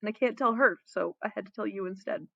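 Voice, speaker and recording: A woman's voice: mid-range voice, fast talker, vocal fry, fairly bright tone, no room sound, highs cut off. Woman as lovesick young crown prince, gloomy, hesitant, guarded, storybook character, dry close sound.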